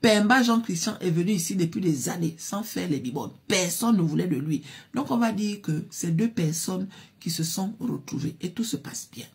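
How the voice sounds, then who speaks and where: A woman's voice: on the low side, expressive, normal speed, darkish tone, husky. A woman narrating a story in a chatty, casual way, talking directly to her listeners. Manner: chatty, addresses listeners as "mes chéris".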